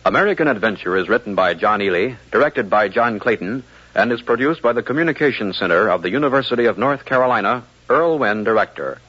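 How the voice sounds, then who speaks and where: A man announcing and narrating a 1950s radio programme from a script, in a formal, measured delivery. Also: fairly low pitch, expressive, a bit bright, a little hiss or hum. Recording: muffled vintage radio broadcast.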